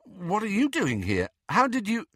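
A man voicing a harried, reluctant teenage boy hero in an audiobook, halting and urgent. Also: high-pitched, wildly swooping pitch, fairly bright tone, no room sound, clean studio sound, voice pushed up, exaggerated voice. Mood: rising panic.

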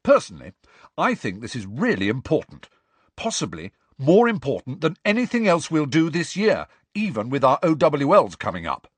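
A man's voice: on the high side, wildly swooping pitch, moderate pace, husky, no room sound, pitched above the performer's own voice, full character voice. A man doing a pompous teenage boy, barking, self-important, dry recording. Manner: pompous, declaiming, loud.

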